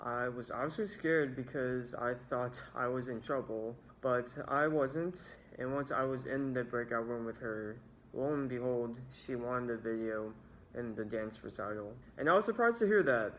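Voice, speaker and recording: A man's voice: medium pitch, normal speed, a bit bright, a little hiss or hum. A young man narrating a scripted storytime about his own experiences as a video creator. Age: young adult.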